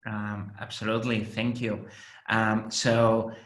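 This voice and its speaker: Spanish-accented man, low voice, roomy echo. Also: little pitch movement, fairly bright tone. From Spain. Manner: measured, explanatory, with frequent "uh" and "um". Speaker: venture investor, panel guest. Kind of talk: panel conversation.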